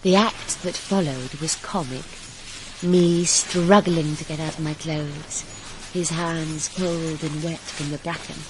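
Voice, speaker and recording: A woman's voice: sits low, fairly even intonation, slow pace, background noise. An actress playing a passionate young woman in love in a radio drama, intimate and emotional as she speaks and narrates her romance. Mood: reflective. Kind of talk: radio drama acting with first-person narration.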